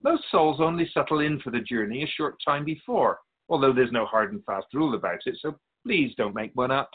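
A man playing a wise, patient otherworldly spirit in a radio drama, explaining things in a measured, gently teasing way. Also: mid-range voice, lively intonation, narrow, tinny audio, lightly acted. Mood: patient, explanatory. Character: Keeper of Souls' Purpose, wise otherworldly spirit, patient and curious.

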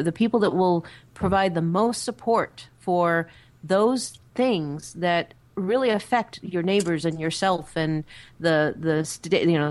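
A woman speaking as a talk show panelist, conversational and earnest, slightly halting as she works out her thoughts. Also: lowish voice. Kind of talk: conversational discussion.

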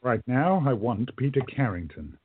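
An actor playing a calm, protective time traveller in a radio play, speaking in a measured, firm way. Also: male voice, medium pitch, slightly rough, no room sound. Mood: firm warning. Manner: measured, firm, hushed at times. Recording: narrow muffled phone-like audio.

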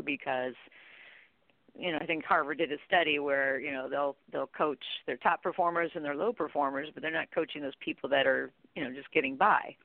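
A woman's voice: low voice, dry recording. A middle-aged woman speaking as an interview guest, explaining things informally and peppering her points with "you know". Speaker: guest, contact center training expert. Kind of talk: conversational interview discussion. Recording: narrow, tinny phone-like line.

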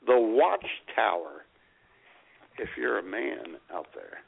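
A man's voice: lowish voice, big pitch swings, slow, vocal fry, slightly rough. A man co-hosting a comedy radio show, chatting casually in a folksy, irreverent storytelling way. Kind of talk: casual joking banter. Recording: narrow phone-like audio.

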